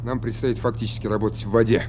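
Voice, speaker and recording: An actor playing a brave, earnest young submarine lieutenant who narrates and speaks in a radio drama. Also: male voice, on the low side, little pitch movement, fast talker, darkish tone, a little hiss or hum, natural voice. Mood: composed.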